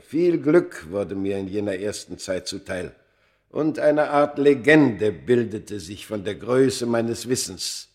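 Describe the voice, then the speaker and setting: Ancient scholar looking back, unhurried slow delivery, solemn, wistful, radio play narration. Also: male voice, fairly low pitch, a little mellow. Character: brooding ancient scholar recalling his fateful past. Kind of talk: dramatic first-person narration in a German radio play.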